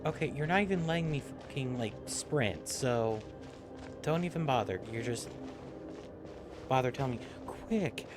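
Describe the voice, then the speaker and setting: A male game streamer talks casually in a medium-pitched voice. He grumbles and swears as he comments, with clear game music playing behind him. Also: expressive, measured pace.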